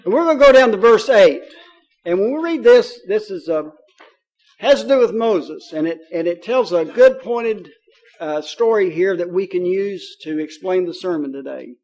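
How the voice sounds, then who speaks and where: A man's voice: high voice, expressive, moderate pace, darkish tone, rough recording. A man with a Kentucky accent preaching a sermon in a folksy, joking way, with many fillers. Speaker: pastor.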